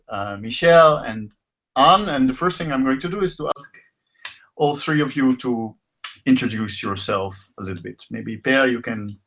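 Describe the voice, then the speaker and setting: A man hosting an informal live online discussion as a professor, speaking haltingly and rephrasing as he goes over a video call. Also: medium pitch, a bit bright. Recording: muffled lo-fi video call audio.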